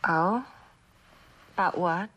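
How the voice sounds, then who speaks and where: An actress playing a lonely, neglected wife in a mid-century television drama, plaintive and halting, with a bitter edge. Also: female voice, fairly low pitch, fairly bright tone. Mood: quiet, drowsy.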